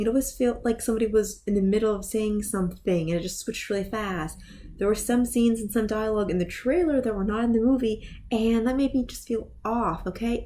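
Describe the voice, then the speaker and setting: A woman's voice: on the high side, darkish tone. A woman giving a casual, opinionated movie review in a blunt, exasperated manner, with sighs and groans.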